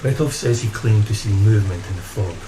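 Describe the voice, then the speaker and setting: Actor as low-voiced stern first mate, stormy echo. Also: male voice, a little fast, slight background noise, lightly acted. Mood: stern, dismissive. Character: stern, rational, hard-nosed first mate. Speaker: actor in audio drama. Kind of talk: gothic horror audio drama acting. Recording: echoey room, loud rain and thunder.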